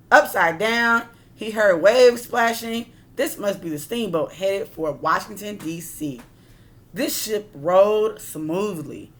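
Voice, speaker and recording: A woman's voice: medium pitch, fairly bright tone. A woman reading a picture book aloud like a teacher, expressively, with casual asides that explain and question her listeners.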